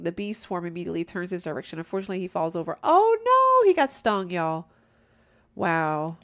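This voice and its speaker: A young woman, chatting casually over a video game and calling her viewers "y'all". She sounds nasal and stuffed up, and she laughs often. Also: medium pitch. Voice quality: nasal from allergies. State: stuffy nose. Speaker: gameplay commentator. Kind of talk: casual let's play commentary.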